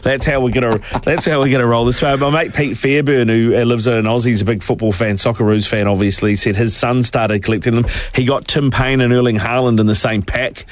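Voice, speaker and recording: Kiwi male sports radio host, easygoing banter, mid-pitched, laughs a lot. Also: a little fast, hardly any pauses, no room sound. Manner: laughs often, relaxed banter. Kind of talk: casual sports chat.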